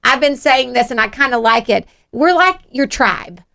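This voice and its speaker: A middle-aged woman with a Southern US accent and a high-pitched, creaky voice. She hosts a motivational radio show, chatting and encouraging listeners effusively. Her tone is bright and forward. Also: animated, normal speed. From Georgia, United States. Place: radio studio.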